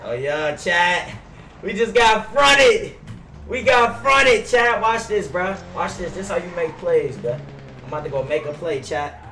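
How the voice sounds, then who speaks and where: A man's voice: sits high, lively intonation, measured pace, creaky, bright, forceful tone. A young American man chatting casually in slang while streaming a game. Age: young adult. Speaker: game streamer roleplaying in a crime game. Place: room at home, indoors. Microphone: gaming headset. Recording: noisy, echoey, slightly distant game stream. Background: game car and ambience noise.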